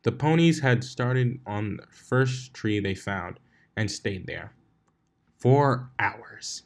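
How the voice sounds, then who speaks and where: Low-voiced man narrating a story. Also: slow. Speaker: fan fiction reader, narrator.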